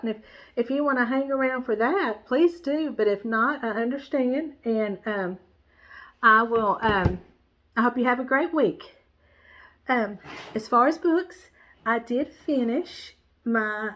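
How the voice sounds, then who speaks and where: A woman with a stuffy, nasal voice, chatting casually about her cross-stitch supplies in a rambling way, with lots of "um"s and restarts. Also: fairly high pitch. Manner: rambling, warm, many fillers and restarts. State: stuffy, congested nose. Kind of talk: casual show-and-tell chat.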